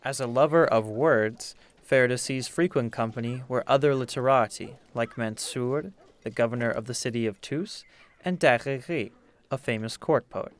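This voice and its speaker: A man presenting and narrating a scripted documentary with a measured storyteller's delivery. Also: medium pitch.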